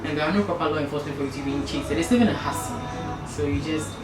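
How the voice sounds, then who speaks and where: Ghanaian woman restaurant owner, low voice, confident, a bit far off with music behind. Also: very fast, reverberant. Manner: confident, laughs easily, proud. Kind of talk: casual interview chat.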